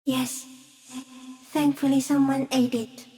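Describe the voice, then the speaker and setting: A woman voicing an ancient, serene giant stick insect in a video game, musing softly in halting, deliberate fragments. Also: high-pitched, little pitch movement, gravelly, heavily acted. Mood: serene, reflective. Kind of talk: video game character voice acting.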